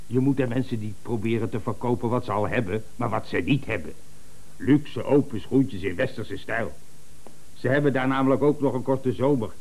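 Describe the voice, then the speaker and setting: A man plays a self-important company director in a radio comedy. He has a mid-pitched voice and blusters emphatically, sure he is never wrong. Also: a little mellow, close to an everyday voice, low-quality recording. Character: pompous, infallible, domineering male company director.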